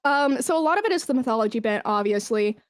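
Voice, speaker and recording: A woman's voice: on the high side, expressive, fast talker, no room sound. A woman chatting casually as an interview guest, enthusiastic and informal, with some swearing. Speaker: podcast guest, esports brand manager.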